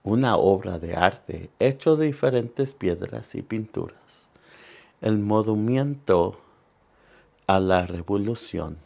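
Salvadoran guy in his 40s doing kids storytime, kinda low voice, lots of gravel, takes his time. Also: lively intonation, measured pace, mellow. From San Salvador, El Salvador. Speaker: storytime host. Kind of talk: bilingual read-aloud of a children's picture book. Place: indoor room in front of wooden backdrop.

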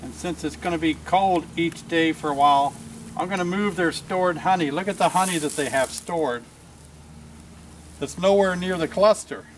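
A man calmly explaining a beehive inspection as he works outdoors in a yard, thinking aloud as he goes. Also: on the high side, normal speed, distant mic, slight background noise, poor audio. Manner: calm, explanatory, thinking aloud while working. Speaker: beekeeper teaching a hive inspection. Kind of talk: outdoor demonstration narration.

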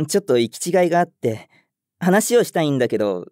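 A man's voice: a little high, big pitch swings, a little fast, darkish tone. An actor playing a timid, over-considerate young male office worker in a voice drama, hesitant and prone to stammering. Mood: awkward. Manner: hesitant, stammering when flustered. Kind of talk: Japanese voice drama, inner narration and dialogue.

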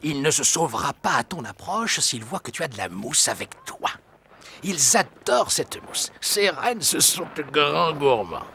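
A man playing a jolly, hesitant old Santa Claus in an animated cartoon. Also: fairly high pitch, measured pace, creaky, noisy background, exaggerated voice. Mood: gentle, musing. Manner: hesitant, exclamatory, avuncular. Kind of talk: animated cartoon dub acting.

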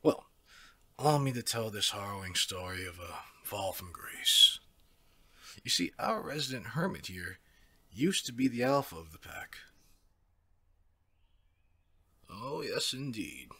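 A man's voice: fairly low pitch, big pitch swings, measured pace, pushed and bright, husky, full character voice. A man playing a taunting, smug werewolf rival in an ASMR audio drama, with a theatrical, mocking drawl. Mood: gloating. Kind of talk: ASMR audio roleplay acting.

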